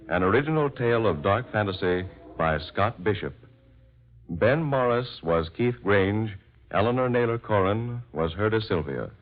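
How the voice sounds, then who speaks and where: Low-voiced vintage radio announcer, male. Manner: formal, declamatory. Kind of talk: 1940s scripted radio announcing. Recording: muffled old radio recording.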